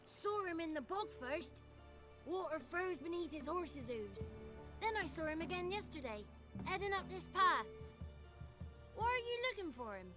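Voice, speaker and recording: High, cheeky little godling boy. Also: big pitch swings, normal speed, music in the background. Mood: matter-of-fact. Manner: folksy, chatty, wry. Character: small childlike male godling, cheeky, chatty, folksy. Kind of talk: video game character dialogue.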